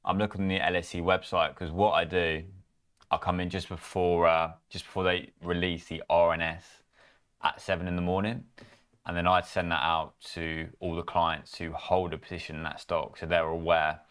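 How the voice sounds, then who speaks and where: A young man with a very low voice chats about companies and stocks as co-host. He is relaxed and offhand, with casual phrasing. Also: moderate pace, smooth. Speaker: co-host at a trading firm. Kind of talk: casual chat.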